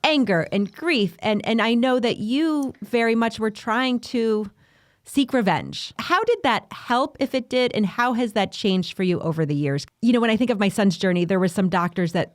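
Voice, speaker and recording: A woman's voice: medium pitch, dry recording, clean studio sound. A middle-aged woman hosting a podcast interview, asking questions in a casual, chatty way and sharing her own stories. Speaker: grief podcast host.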